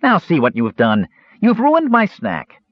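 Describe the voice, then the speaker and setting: Small excitable kender, played by a male reader: high-pitched, loud, cheeky, oblivious, storybook fantasy. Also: a little slow, no room sound, lo-fi sound, exaggerated voice. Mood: loud indignant. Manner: loud, indignant, blurting.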